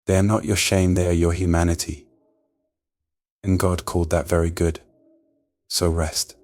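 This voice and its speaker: Deep-voiced man, soothing bedtime prayer. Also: flat delivery. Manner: soft, slow and soothing. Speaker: devotional narrator. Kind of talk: scripted bedtime prayer meditation.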